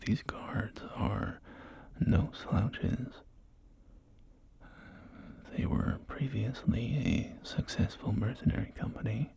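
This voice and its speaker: A man voicing a polite wasteland real estate agent in a whispered ASMR roleplay, with formal, softly persuasive sales patter. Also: on the low side, creaky, heavily acted.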